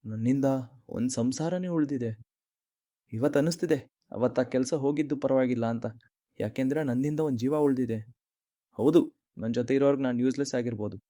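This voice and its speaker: A man's voice: medium pitch, normal speed, dark tone, no room sound, studio quality, lightly acted. A man playing a humble, good-hearted, downhearted young man, speaking politely and earnestly in a cartoon story. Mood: reflective, hopeful. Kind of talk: dramatic dialogue in a cartoon short story.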